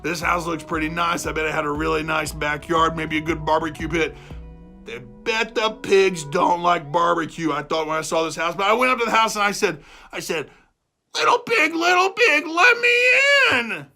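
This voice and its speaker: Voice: medium pitch, lively intonation, normal speed, bright, forceful tone, soft background music. A storyteller voicing an aggrieved, self-justifying big bad wolf who tells his side of a children's fairy tale with exasperated, emphatic repetition. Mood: loud, excited. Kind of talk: animated first-person storytelling for children.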